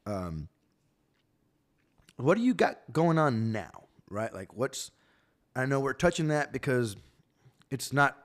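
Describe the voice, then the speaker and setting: A man's voice: medium pitch, big pitch swings, takes it slowly, pauses often. Guy in his 30s, easygoing podcast host, swears a bit, keeps asking "right?". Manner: laid-back, swears, tags lines with "right?". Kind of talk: casual chat.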